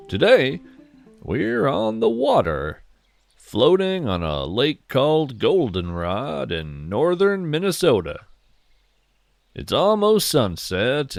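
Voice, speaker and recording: A man playing an earnest, wonder-struck naturalist, hosting a scripted fiction nature podcast in a gentle, folksy, lyrical manner. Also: mid-range voice, vocal fry, no room sound, studio quality, exaggerated voice. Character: earnest, wonder-struck male naturalist who seeks cryptids. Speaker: podcast host.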